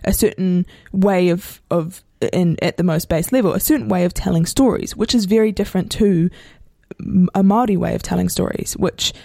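A woman's voice: lowish voice, normal speed, gravelly, warm tone, dry recording, clean studio sound. A woman from New Zealand with a New Zealand accent, interviewing in a casual, reflective way, rambling with many restarts and hedges.

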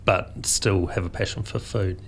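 Low, flat-voiced New man. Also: unhurried, vocal fry, slight background noise. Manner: rambling, thoughtful, many fillers and restarts. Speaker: guest, food photographer and publisher. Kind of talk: radio studio interview.

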